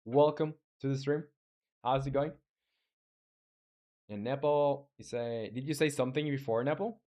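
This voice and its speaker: A young Chilean man, Spanish his first language, talking loosely to his stream viewers while he codes. His voice sits at an ordinary middle pitch, and his sentences often stall, restart and fill with "uh" and "like.". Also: warm tone, highs cut off. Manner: halting, many restarts and fillers. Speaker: game developer streamer. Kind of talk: casual live-stream chat reading viewer comments.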